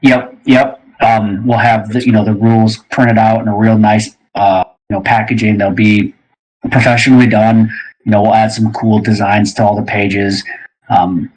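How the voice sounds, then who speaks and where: A man's voice: lowish voice, level tone, normal speed, darkish tone, rough recording. A man casually explaining plans as a show host, in an easygoing, hesitant way. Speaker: game creator and show host.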